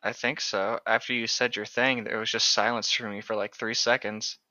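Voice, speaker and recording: A man's voice: fairly low pitch, flat delivery, normal speed, pushed and bright, dry recording. A young man in casual joking chat, blunt and given to exasperated banter, over a lo-fi voice-call connection. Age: young adult.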